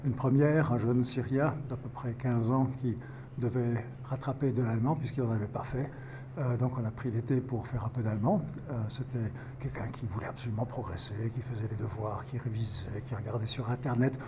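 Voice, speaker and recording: Man in his fifties, pastor, halting interview answers, middle pitch, noisy recording. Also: steady tone. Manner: halting, restarts words often. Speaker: volunteer tutor and pastor.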